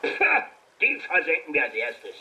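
A cocky pirate with a very sharp, forceful voice, pitched fairly high. He swaggers and boasts as if interviewed on air in a children's audio drama. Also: sing-song, moderate pace, heavily acted, rough recording. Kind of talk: children's radio play acting.

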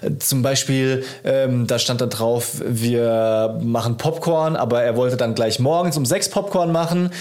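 A man's voice: medium pitch, unhurried, few pauses, darkish tone. Man chatting casually, explaining things. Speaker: podcast host. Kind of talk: casual chat between two dads.

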